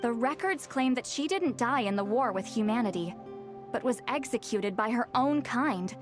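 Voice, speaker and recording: A proud, sharp-tongued young shaman, voiced by a woman for a video game. She talks in a wry, slightly haughty lecturing tone with a fairly high voice, over clear game music. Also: expressive, moderate pace, lightly acted. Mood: explaining. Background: game soundtrack music.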